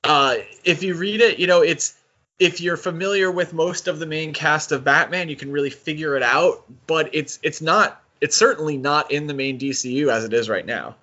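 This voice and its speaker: A man's voice: fairly high pitch, normal speed, bright, forceful tone. Man hosting a podcast, dull lo-fi sound. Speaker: podcast host. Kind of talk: casual podcast discussion.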